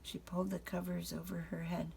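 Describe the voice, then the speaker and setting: An older woman, a grandmother, reading a children's picture book aloud in an unhurried way, pausing to address the children. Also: lowish voice, level tone, vocal fry, mellow, slight background noise.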